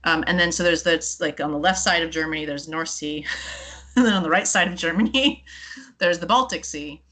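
A woman's voice: lowish voice, a little fast, bright, forceful tone. A woman co-hosting a science podcast who talks in a casual, chatty way and laughs between her points. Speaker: podcast co-host.

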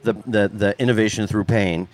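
A man's voice: lowish voice, fairly even intonation, fairly quick. A man hosting a casual live interview in a hotel, joking and plain-spoken. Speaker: podcast host. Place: hotel lounge.

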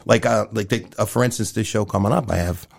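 A man in his fifties with a fairly low, gravelly voice chats as an interview guest. He speaks in an earnest, candid, conversational way, as someone who promotes events. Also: fast. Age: 50s.

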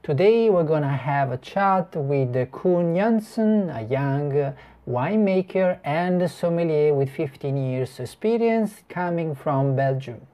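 A woman's voice: low voice, expressive, takes it slowly, mellow. A woman hosting a program, reading a prepared introduction to the guest. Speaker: host. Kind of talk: scripted show intro.

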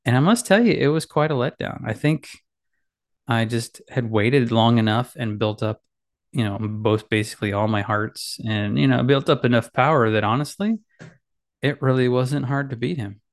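A man hosting his solo podcast from a small home office on a boom mic, chatting casually in a rambling, self-deprecating way full of fillers and restarts, with a slightly nasal voice. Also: moderate pace. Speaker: podcast host. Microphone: boom mic with headphones.

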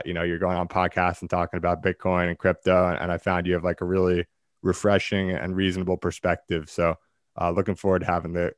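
Very deep, flat-voiced podcast host. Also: male voice, dry recording, clean studio sound. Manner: earnest, measured, some uh fillers. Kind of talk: ad read and interview intro.

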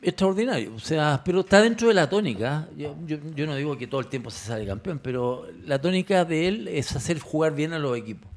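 A man's voice: a little high, expressive, a little fast, hardly any pauses. A former footballer speaks as a panelist on a sports show. He is emphatic and tends to repeat words when he makes his case. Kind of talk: sports talk commentary.

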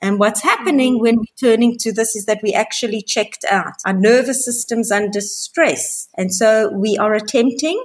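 A woman's voice: a little high, moderate pace, few pauses, breathy edge. A woman from Africa, a guest coach talking earnestly and reflectively in an interview, repeating phrases as she thinks. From South Africa. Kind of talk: conversational interview.

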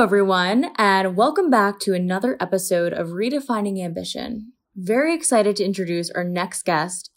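A woman hosting an interview podcast, giving an upbeat introduction and asking long questions broken by "um" and "uh". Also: medium pitch. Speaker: podcast host.

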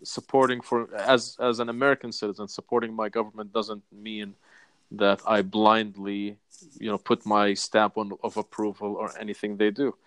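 A Palestinian man speaking as an interview guest, measured and deliberate, laying out his points one by one. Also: lowish voice, flat delivery, a bit bright, smooth, no room sound. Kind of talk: earnest advocacy interview.

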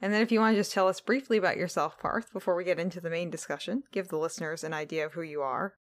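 A woman's voice: lowish voice, fairly even intonation, quick pace. A woman hosting a podcast, asking questions and steering a casual interview. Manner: asks questions and steers the conversation. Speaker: podcast host.

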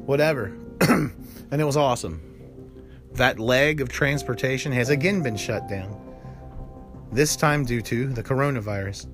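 An American man hosting a solo podcast, talking in a worried, rambling way and leaning on repeated words for emphasis. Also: medium pitch. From United States. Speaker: podcast host. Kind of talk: anxious solo podcast monologue.

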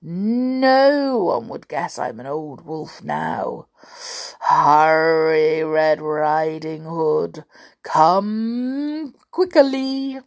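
A woman plays a sly, wicked old male wolf from a storybook, pretending to be a frail granny. The lines are coaxing and stretched very slowly. The pitch swoops theatrically with villainous relish. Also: animated, vocal fry, exaggerated voice. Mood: sly, urgent. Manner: coaxing, drawn-out, exaggerated storybook villain delivery.

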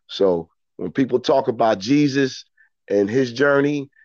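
A man speaking as a podcast guest in casual, reflective conversation, earnest and halting, often repeating his words. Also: medium pitch, a little mellow, dry recording, highs cut off.

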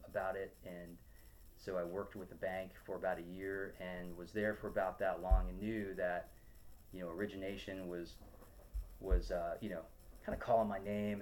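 A middle-aged man from Ohio talks through answers as a podcast guest, pausing often with ums and starting sentences over. His voice sits low and deep. A faint hum runs behind him. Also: a little mellow. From Ohio, USA. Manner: rambling, many ums and restarts. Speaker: podcast guest, loan officer. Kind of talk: casual interview answers.

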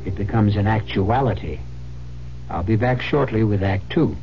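An American man hosting a radio suspense drama, narrating in a deliberate, formal and ominous manner. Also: fairly low pitch. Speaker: host and narrator. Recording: muffled old radio recording over music.

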